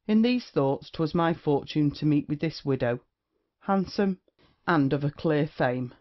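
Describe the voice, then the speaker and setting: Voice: medium pitch, animated, unhurried, close to an everyday voice. A performer playing a brash, witty young male rake in a period comedy audio play, with archaic, swaggering, flippant speech. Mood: flippant, boastful. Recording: dull, lo-fi audio.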